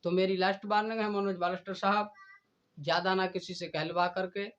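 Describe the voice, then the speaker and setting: A man's voice: high voice, fairly even intonation, fairly bright tone. Stern man, dull lo-fi sound. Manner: stern, deliberate, preachy. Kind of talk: admonishing speech addressed to a rival. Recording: dull, lo-fi recording.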